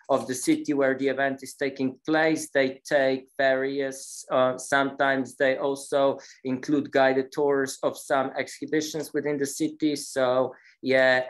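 A man giving a slide presentation on a video call, speaking in a halting, hesitant way. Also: mid-range voice, rough recording. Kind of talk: slide presentation at an online meeting. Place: meeting room, on a video call.

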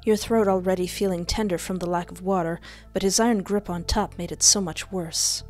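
A woman's voice: lowish voice, steady tone, brisk. Playful young woman streaming a horror game. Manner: playful, cheeky, sassy. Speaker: streamer, narrator. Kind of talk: let's play, reading visual novel aloud with playful reactions. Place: indoors at a desk. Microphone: headset and close desk microphone. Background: faint game music.

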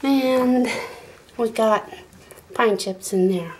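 A woman's voice: fairly high pitch, very slow, a little mellow, slight background noise. A middle-aged woman, giving a rambling, homespun tour of her small wooden goat barn with lots of fillers and restarts. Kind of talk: casual walkthrough narration. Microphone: handheld phone camera.

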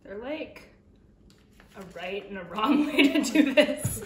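A woman's voice: a little high, lively intonation, slow. A young woman co-hosting a cooking vlog, chatting playfully in a home kitchen and breaking into laughter. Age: young adult. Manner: playful, bursts into song and laughter. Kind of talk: casual chat while cooking. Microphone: camera across the room. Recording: echoey kitchen, far from the mic, noisy.

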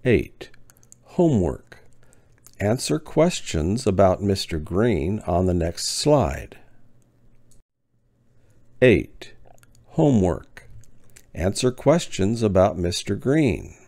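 Brief: deep gravelly male teacher, slow and deliberate, lively pitch. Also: dry recording, clean studio sound. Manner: spaced-out words with long pauses, clear enunciation. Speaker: ESL teacher. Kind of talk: slow, deliberate lesson reading.

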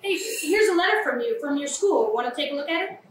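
A woman's voice: high voice, quick pace. A young woman playing a glib, manipulative, callous woman with a morbid streak in a theatrical staged reading. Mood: flippant. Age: young adult. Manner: animated, flippant, theatrical. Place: small café, live staged reading. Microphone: far camera. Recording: echoey, distant camera mic, faint noise.

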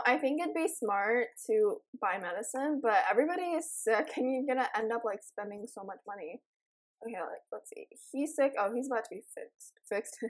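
A raspy young woman casually commenting while she plays a life-simulation game, rambling and giggling with lots of ums. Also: a little high, low-quality recording. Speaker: gaming channel host. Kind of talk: casual let's play commentary.

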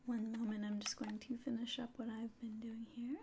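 A woman in her twenties doing an ASMR roleplay as a doctor, speaking softly and often whispering in a polite, measured way. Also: on the high side, flat delivery, background noise. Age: 20s. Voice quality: soft, breathy, often whispering. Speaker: ASMR performer. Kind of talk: ASMR roleplay as an alien doctor giving an exam. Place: small quiet room indoors.

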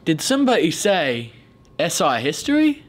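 A man's voice: fairly high pitch, wildly swooping pitch, normal speed, slight background noise. A man acting as a theatrical, pompous school elder with a British accent in an amateur comedy skit. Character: elder, undead former student cursed to answer history questions.

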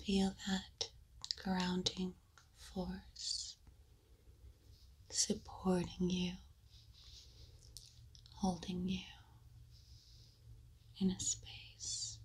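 A woman whispering a guided meditation in a slow, soothing voice, leaving long pauses between phrases. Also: lowish voice, completely flat delivery. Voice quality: unvoiced whisper.

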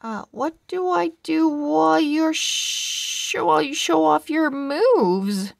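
A woman's voice: sits high, animated, slow, vocal fry, no room sound, studio quality, voice pushed up, full character voice. A woman voicing an eager, put-upon little male pug from a children's storybook, earnest and hesitant. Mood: hesitant, deflated.

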